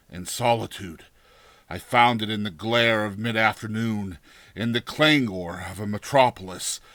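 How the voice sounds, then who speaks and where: A male audiobook narrator with a low, bright and forceful voice. He reads classic horror deliberately and theatrically, shaping each formal phrase. The sound is close and dry, with no room around it. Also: dry recording. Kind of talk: dramatized horror story narration.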